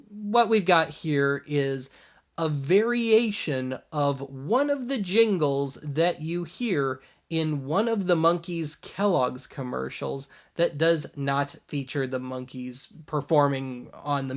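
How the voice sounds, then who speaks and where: Slow-talking man hosting, slightly high voice. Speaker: host of a show about old tapes. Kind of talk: casual scripted commentary.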